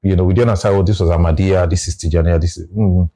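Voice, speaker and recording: A Nigerian man talking through his views in a relaxed conversation, often restarting his phrases. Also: sits low, quick pace. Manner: reflective, restarts phrases, explains with examples. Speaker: guest. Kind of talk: casual conversation.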